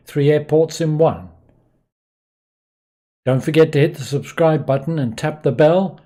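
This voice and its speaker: A man's voice: medium pitch, warm tone. A man narrating an instructional tutorial as the host of a flight simulation channel.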